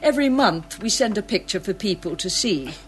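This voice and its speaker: An actress playing a nervous, evasive office clerk who answers hesitantly in a radio play. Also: female voice, medium pitch, expressive, fairly quick, a little hiss or hum, natural voice. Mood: uneasy. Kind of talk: radio drama acting.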